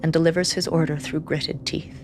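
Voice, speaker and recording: An actress playing a grim, haunted seer who reads the dead, narrating in the first person with hushed, deliberate intensity. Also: female voice, deep voice, little pitch movement. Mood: tense, controlled. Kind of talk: first-person dramatic narration in audio drama.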